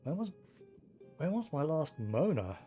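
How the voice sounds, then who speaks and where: A man's voice: fairly high pitch, sing-song, a little slow, dark tone, soft background music. A man giving casual gameplay commentary as a streamer, rambling and thinking aloud in an understated way. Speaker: game streamer.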